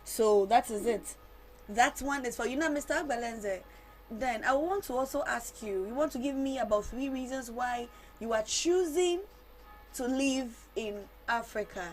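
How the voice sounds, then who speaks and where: A woman's voice: a little high, normal speed, faint music behind, rough recording. A woman hosts a talk show. She puts questions to her guests, switching between English and Twi and rephrasing as she goes. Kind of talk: hosting a discussion, putting questions to guests.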